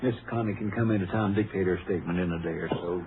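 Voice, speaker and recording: A man's voice: low voice, very fast, faint music behind, close to an everyday voice. A man playing a confident, folksy, plain-spoken small-town Texas sheriff in a 1950s radio drama. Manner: plain-spoken, sure of himself. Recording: very noisy, muffled old radio broadcast.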